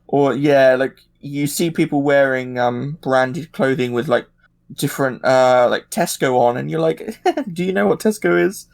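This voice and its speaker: Creaky-voiced man chatting casually. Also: medium pitch, unhurried. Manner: hesitant, thinking aloud. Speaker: podcast regular. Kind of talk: casual discussion.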